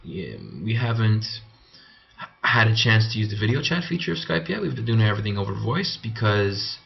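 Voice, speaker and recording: A young man with a Canadian accent presents a team report in an informal way. His voice sits on the low side, and the recording is somewhat dull and lo-fi. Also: big pitch swings, a little fast, gravelly. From Canada (Montreal). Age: young adult.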